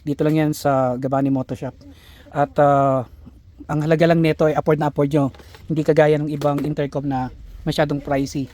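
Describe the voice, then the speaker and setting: A man casually presenting a motorcycle vlog, talking haltingly with frequent filler pauses. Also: fairly high pitch, level tone, mellow. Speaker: motorcycle vlogger. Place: outdoors then inside a shop.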